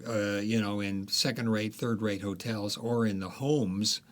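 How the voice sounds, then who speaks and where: A man, an author being interviewed, talking through history in a relaxed, unhurried way with frequent fillers and restarts. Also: fairly low pitch. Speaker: author guest.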